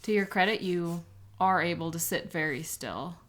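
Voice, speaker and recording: A woman's voice: lowish voice, moderate pace, fairly bright tone, lightly acted. A woman playing an embittered, contemptuous police sketch artist with a sarcastic, scornful manner in a dramatic audio roleplay. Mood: cold, bitter.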